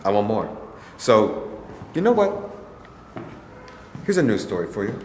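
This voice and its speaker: A man's voice: fairly low pitch, big pitch swings, a little slow, a little mellow. A man in a staged play reading, playing a cocky, ambitious young boxer. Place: mid-sized rehearsal studio, far camera. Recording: echoey hall, faint noise.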